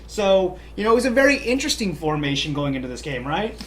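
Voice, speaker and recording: A man's voice: sits high, very animated, quick pace, fairly bright tone. A man co-hosting a casual soccer talk show, upbeat and chatty with lots of fillers. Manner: upbeat, chatty, many "you know" fillers. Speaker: podcast co-host. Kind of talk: casual sports chat.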